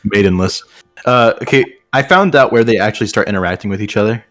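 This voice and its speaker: A man's voice: on the low side, fast, fairly bright tone, no room sound, clean studio sound, soft background music. A young man chatting casually and playfully, with a theatrical streak, during a group read-along.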